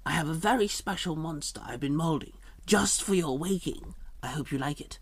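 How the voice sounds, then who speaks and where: A man voicing a fussy, fawning monster-maker servant in a fan audio drama, with a high, creaky rasp. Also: moderate pace. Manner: fussy, obsequious.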